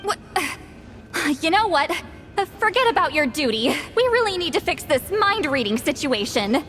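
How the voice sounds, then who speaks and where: A brash game heroine with a bright, forceful edge. She is a short-tempered shaman who boasts and huffs, with light music behind her. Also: high voice, very animated, moderate pace. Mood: huffy, flustered. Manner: boastful, huffy. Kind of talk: anime-style video game voice acting.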